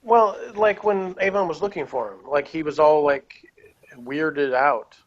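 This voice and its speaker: Rambling casual man on dull lo-fi audio. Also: a little high. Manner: rambling, halting, frequent filler words. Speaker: review show panelist. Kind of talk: casual opinionated review chat.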